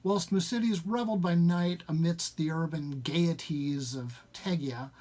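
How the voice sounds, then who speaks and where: A man's voice: a little high, a little slow, a little mellow. A man speaking as a live reader, moving between informal introduction and literary story reading.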